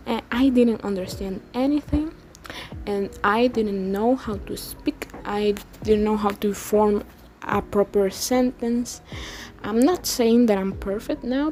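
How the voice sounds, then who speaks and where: An eighteen-year-old woman from the Dominican Republic, a native Spanish speaker with a Dominican accent, talking casually to viewers about herself and haltingly searching for words. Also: a little high, dark tone, rough recording. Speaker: language-learning YouTuber. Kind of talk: casual personal storytelling to viewers. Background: music.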